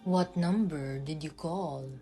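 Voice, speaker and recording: A woman's voice: deep voice, slow, warm tone, soft background music. A young woman playing a polite, impassive telephone operator in a radio play, speaking in formal set phrases. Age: young adult.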